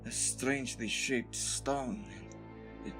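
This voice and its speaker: A humble, sorrowful middle-aged father , acted by a man for an anime dub. He speaks slowly and formally, halting as he goes, in a medium-pitched voice. Music plays clearly underneath. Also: fairly even intonation, natural voice. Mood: polite, somber. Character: grieving, humble middle-aged flower shop owner, a father. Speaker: voice actor in a fan dub. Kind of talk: anime dub acting, dramatic monologue.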